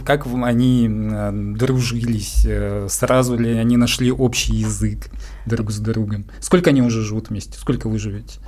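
A woman's voice: extremely low pitch, steady tone, normal speed, mellow. Woman interviewer asking casual questions.

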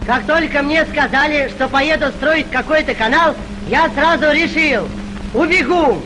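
A man's voice: extremely high pitch, measured pace, over music. An actor playing a convict labourer telling his own story in a dramatic film monologue. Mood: recounting. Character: convict recounting his arrival at a canal labour camp. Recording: noisy old film soundtrack.

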